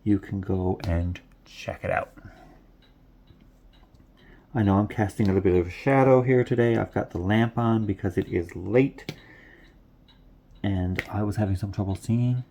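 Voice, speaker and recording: Congested low-voiced man rambling casually. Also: unhurried, gravelly, pauses often, warm tone, a little hiss or hum. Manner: rambling, repeats himself. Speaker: craft vlogger. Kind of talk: casual chat to camera. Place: home room at night, lamp on.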